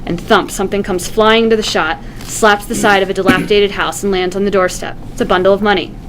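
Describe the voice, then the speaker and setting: A woman narrates a screenplay's stage directions in a medium-pitched voice. It is a scripted read-through, recorded live with noticeable background noise. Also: fairly even intonation, fast, rough recording.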